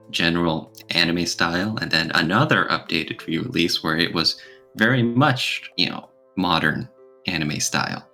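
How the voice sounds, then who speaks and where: Bright, forceful low-pitched man on a podcast. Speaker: podcast co-host. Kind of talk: casual opinionated discussion.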